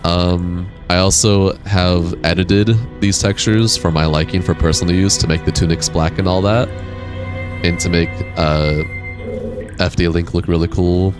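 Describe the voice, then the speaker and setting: A young man with a deep voice, casually streaming a game and chatting with viewers in a laid-back, rambling way. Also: steady tone, unhurried. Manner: laid-back, rambling, reads and answers chat. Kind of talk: casual live-stream chat while gaming. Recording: game music playing behind.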